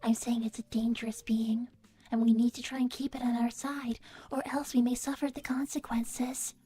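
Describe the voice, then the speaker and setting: A woman playing an earnest, devoted young artificial-intelligence daughter, soft and breathy, speaking politely and precisely in livestream banter. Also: a little high, level tone, normal speed. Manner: polite, precise, matter-of-fact. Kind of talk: in-character improvised livestream banter.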